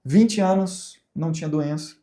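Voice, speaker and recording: Brazilian man teaching with a mellow voice. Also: on the high side, normal speed. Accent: Brazilian Portuguese. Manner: conversational, asks rhetorical questions, acts out examples. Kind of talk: recorded teaching talk.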